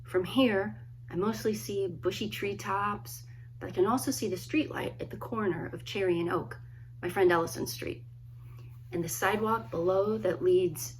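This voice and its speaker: A woman, a children's author, reading a story aloud expressively, with dramatic pauses and hushed emphasis. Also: on the low side, a little hiss or hum, low-quality recording. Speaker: children's book author reading own work. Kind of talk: expressive storybook read-aloud, friendly introduction.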